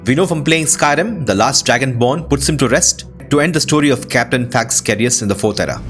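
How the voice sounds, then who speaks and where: Male gaming host, casual commentary, mid-range voice, lively intonation, faint music behind. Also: medium pitch, brisk. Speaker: host of video game playthrough.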